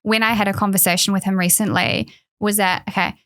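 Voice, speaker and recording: Kiwi woman guest on a podcast, earnest, says like a lot, middle pitch. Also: steady tone, quick pace, a bit bright. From New Zealand. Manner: earnest, laughs often, frequent "like". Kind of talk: conversational interview.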